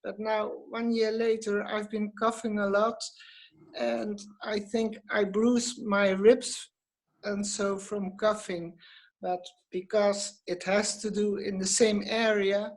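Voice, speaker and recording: A woman's voice: medium pitch, flat delivery, slow, rough recording. A woman from the Netherlands with a Dutch accent calling in on a video call, hesitant and searching for English words. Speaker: caller.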